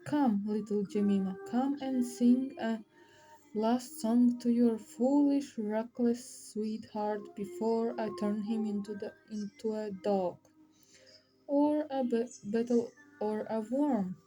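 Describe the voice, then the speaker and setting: A woman's voice: on the high side, slow, mellow, faint music behind, rough recording. A woman voicing a cruel, gloating witch in a storybook, taunting and commanding her captive. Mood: gloating, mocking. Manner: mocking, commanding, punctuated with "eh?" and "huh?". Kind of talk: storybook read-aloud.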